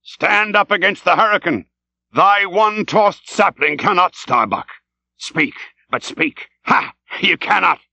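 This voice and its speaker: A man's voice: sits high, takes it slowly, gravelly, pushed and bright, no room sound, pitched above the performer's own voice, exaggerated voice. A man voicing an old, obsessed whaling captain in an audiobook, fierce and commanding, with vehement, archaic, declamatory speech. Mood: wild, defiant.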